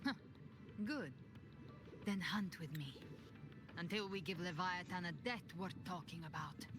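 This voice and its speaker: A woman playing a brooding, defiant monster-hunting avenger in a video game, speaking in a deliberate, grave and cryptic way. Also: on the low side, lightly acted. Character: brooding, defiant female avenger who hunts dark monsters. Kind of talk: dramatic scripted game dialogue. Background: prominent background music.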